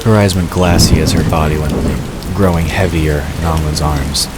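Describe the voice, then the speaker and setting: A man's voice: extremely low pitch, steady tone, moderate pace, heavy background noise. A man narrating a scripted fantasy story as the narrator of an audio drama.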